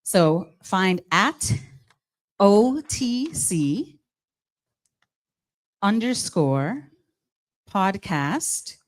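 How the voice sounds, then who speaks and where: A woman's voice: mid-range voice, very slow, pauses often, rough recording. A woman from Guyana with a Guyanese accent, hosting a live charity evening as mistress of ceremonies and coaxing the crowd. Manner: lively, coaxing the audience.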